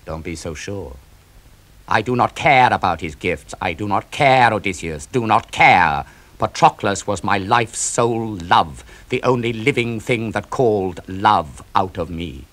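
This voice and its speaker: A proud young warrior prince sunk in grief, read aloud by a man. He broods and speaks bitterly in a low, unhurried voice, as in a spoken poem. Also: animated, a bit bright. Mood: grieving bitter.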